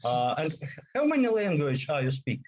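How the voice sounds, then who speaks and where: A man from Ukraine, hosting and asking questions in halting English over a video call. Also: on the high side, sing-song, darkish tone. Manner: slow, halting English with many fillers. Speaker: host. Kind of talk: interview questions.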